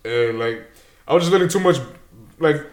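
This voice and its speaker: A man's voice: a little high, animated, moderate pace, reverberant. A young man with Dominican and Peruvian roots from New Jersey, talking energetically in a casual interview and dropping Spanish words into his slangy speech. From Dominican and Peruvian heritage, New Jersey. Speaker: guest, show creator.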